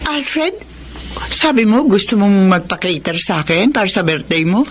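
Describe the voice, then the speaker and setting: A woman's voice: mid-range voice, very animated, normal speed, over loud music, natural voice. A woman playing a cheerful, enterprising middle-aged restaurant owner in a Filipino radio drama, chummy and playful. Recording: noisy recording with background ambience.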